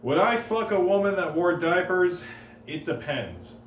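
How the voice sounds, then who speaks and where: A man doing deadpan one-liner stand-up comedy on a stage, halting and restarting between jokes. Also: high-pitched, a little hiss or hum. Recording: roomy live room, heard from a distance.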